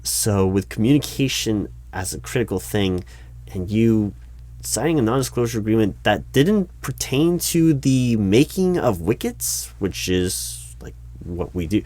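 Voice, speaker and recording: A man roleplaying a skeptical but polite hiring manager in a one-sided interview, speaking out loud in a halting, stammering way. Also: lowish voice, expressive, no echo. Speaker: roleplay performer as recruiting manager. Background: computer keyboard typing.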